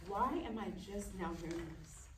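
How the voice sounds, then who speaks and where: A woman playing an outspoken, protective aunt with a brisk, challenging manner in a live table read. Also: medium pitch, background noise, natural voice. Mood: shaken, questioning. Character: outspoken celebrity chef aunt, protective and indignant. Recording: roomy, distant live stage recording.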